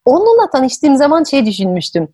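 A woman hosting an interview, telling personal stories in a conversational, expressive way. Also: high-pitched, brisk, no echo, studio quality. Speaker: podcast host. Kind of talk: conversational storytelling.

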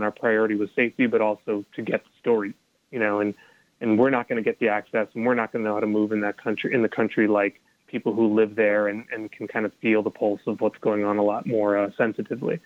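Colombian American man, filmmaker in an interview, halting delivery, phone-quality sound with no room. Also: fairly low pitch, little pitch movement, no echo. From United States. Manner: hesitant, many restarts and fillers. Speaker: documentary filmmaker. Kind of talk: interview answers. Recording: dry tinny phone-like line.

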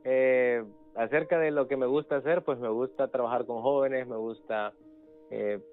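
A man speaks casually as an interview guest, halting and repeating words as he finds his phrasing. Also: medium pitch, steady tone, narrow, tinny audio, soft background music. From El Salvador. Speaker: interview guest, youth ministries pastor. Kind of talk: casual spoken testimony.

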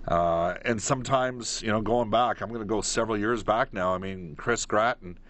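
A man hosting a live sports phone-in, giving opinions emphatically and pausing mid-thought. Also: on the low side, a bit bright. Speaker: sports radio call-in host.

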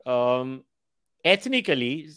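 A man hosting a podcast, posing long, probing questions. He talks in a lively way, doubling words as he builds toward a point. His mid-pitched voice is recorded close and dry. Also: slow, no echo. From India. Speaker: podcast host. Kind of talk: interview questions.

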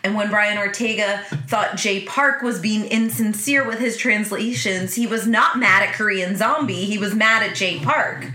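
Fields. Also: female voice, mid-range voice, bright, forceful tone, reverberant. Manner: teasing, quick to laugh. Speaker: podcast co-host. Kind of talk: casual podcast chat.

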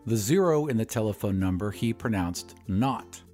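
Male narrator for fiction podcast: expressive scripted read, wide pitch movement, medium register, music bed. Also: normal speed.

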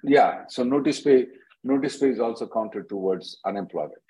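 A man with a soft, dark tone hosts a legal question-and-answer call. He is blunt and impatient with callers, and repeats himself as he advises. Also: medium pitch, expressive, normal speed, husky, low-quality recording. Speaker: immigration attorney hosting a conference call.

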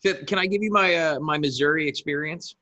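A man's voice: on the high side, a little fast, bright, forceful tone. A man co-hosting a casual podcast, telling rambling anecdotes and making dry asides. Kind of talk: casual chat.

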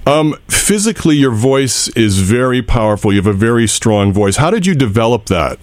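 A man interviewing a guest on the radio, asking polite, quick questions. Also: mid-range voice, sing-song, dry recording.

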